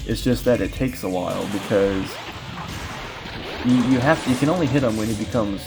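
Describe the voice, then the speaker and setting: Laid-back low-voiced man commentating gameplay. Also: a little fast, gravelly. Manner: relaxed, rambling, filler-heavy explaining. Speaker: speedrunner commentating his run. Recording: game music playing loudly behind. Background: game music.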